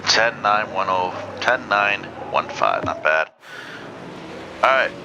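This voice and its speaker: A man with a very low voice talks loosely through a racing game, circling back on his own sentences and filling gaps with ums. Loud car engines roar the whole time under his words. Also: animated, slow, vocal fry, muffled recording, background noise. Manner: rambling, chatty, many ums and restarts. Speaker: gaming channel host. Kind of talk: casual gameplay commentary.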